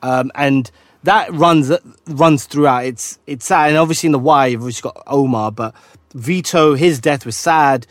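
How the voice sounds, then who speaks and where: A man co-hosting a casual talk, agreeable and quick to chime in. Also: medium pitch, no room sound. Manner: agreeable, frequent yeahs and backchannels. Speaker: podcast co-host. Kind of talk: casual chat.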